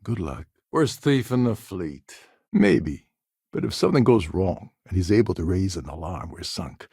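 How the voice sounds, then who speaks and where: An actor playing a weary, wry older space pirate posing as a ship captain in a video game, gravelly and husky, with a sardonic, conspiratorial manner. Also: male voice, deep voice, very animated, normal speed, a little mellow, no room sound, studio quality, heavily acted. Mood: wry, guarded. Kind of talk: video game character dialogue.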